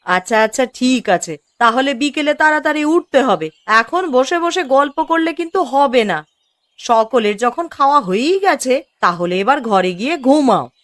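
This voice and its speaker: A woman's voice: sits high, expressive, normal speed, fairly bright tone. A woman voicing a practical, fussing village housewife and mother in a cartoon, questioning and managing the household. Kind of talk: cartoon dubbing.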